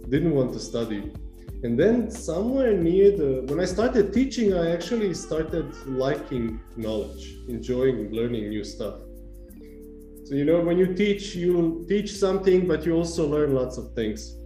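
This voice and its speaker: Dark-voiced man, chatty lecturer. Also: on the high side. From Serbia. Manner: chatty, easygoing, self-correcting storyteller. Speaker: university lecturer. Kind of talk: casual interview answers. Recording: echoey room.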